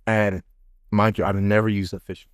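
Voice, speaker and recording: A man hosting a casual podcast, telling stories in an animated, slangy way. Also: fairly low pitch, normal speed, clear, resonant tone, no room sound, clean studio sound. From Dominican background. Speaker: podcast host. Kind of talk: casual chat, storytelling.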